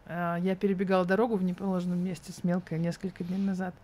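A woman's voice: lowish voice, flat delivery, quick pace, warm tone. A woman in her thirties speaking as an interview guest, candid and thoughtful with an ironic edge.

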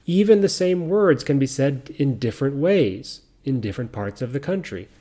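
A middle-aged man with a mixed American accent and faint Southern roots, hosting a podcast in slow, clear teaching talk for learners. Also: mid-range voice. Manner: calm, explains step by step, repeats key points. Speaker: podcast host.

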